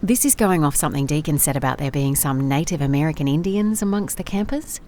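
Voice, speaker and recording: A woman's voice: deep voice, animated, fast talker, dark tone, a little hiss or hum. A woman playing a wry, methodical private investigator in an audio drama, musing and dictating case notes in a dry manner. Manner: dry, musing, clipped note-taking. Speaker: lead actor in audio drama. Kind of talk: first-person audio drama case log.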